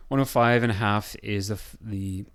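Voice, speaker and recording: A man with a hoarse, scratchy voice, losing his voice, talking through a software design tutorial and thinking aloud with lots of pauses and fillers. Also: lowish voice, lively intonation. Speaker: building designer.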